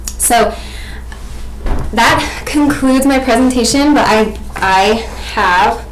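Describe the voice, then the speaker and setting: A woman in her twenties presenting like a teacher, expressive and questioning, as in an interactive read-aloud. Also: fairly high pitch, slow pace. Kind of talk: interactive picture-book reading and explanation for children. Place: small domestic room indoors. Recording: echoey room, faint background noise.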